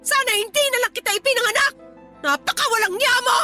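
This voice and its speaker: Casting: scornful, quick-tempered mother for radio drama; extremely high, piercing, bright delivery. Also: soft background music, full character voice. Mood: furious, scornful. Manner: scornful, emotional, defensive. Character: bitter, hot-tempered mother of a grown child.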